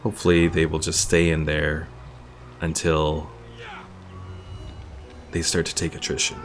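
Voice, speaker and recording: A man's voice: a bass voice, monotone, unhurried. A man giving casual game commentary as a streamer, thinking aloud and reading game text out. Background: game music.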